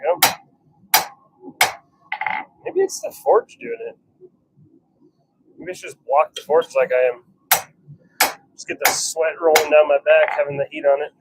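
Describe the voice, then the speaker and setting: A man with a fairly high voice and very animated pitch chats casually on a livestream as he works. He talks in short, wry remarks, half to himself. Clear workshop noise sits behind him. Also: unhurried, pauses often. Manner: muttering self-commentary in short clipped remarks, wry. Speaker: livestream host forging knives. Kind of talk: casual livestream chat and running commentary while working. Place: blacksmith workshop with forge.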